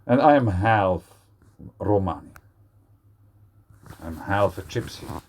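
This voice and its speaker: A man's voice: sits low, lively intonation, slow. A man in his forties with a Swiss German accent, rambling in a casual solo video with many fillers and restarts. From Switzerland. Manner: rambling, hesitant, with frequent fillers. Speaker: video creator. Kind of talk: casual monologue.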